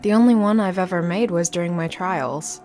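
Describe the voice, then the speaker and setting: A woman's voice: fairly low pitch, moderate pace, a little mellow, faint music behind, close to an everyday voice. A woman playing a studious, serious young necromancer in a visual novel, speaking in a matter-of-fact, explanatory way. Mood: explaining. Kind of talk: scripted visual novel acting.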